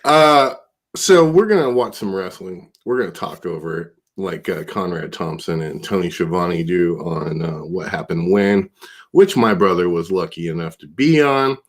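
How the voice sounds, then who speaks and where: A man's voice: low-pitched, lively intonation, moderate pace. A man hosting a casual podcast, stuffed up and tired, talking in a loose, profane, rambling way. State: congested, stuffed-up. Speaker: podcast host.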